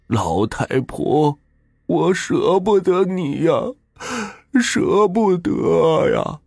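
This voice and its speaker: A man voicing a fierce old husband who shouts and curses to protect his dying wife in a spoken ghost story. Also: on the high side, lively intonation, drawn-out words, vocal fry, dry recording, clean studio sound, pitched above the performer's own voice, full character voice. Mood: grieving. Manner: shouting, cursing.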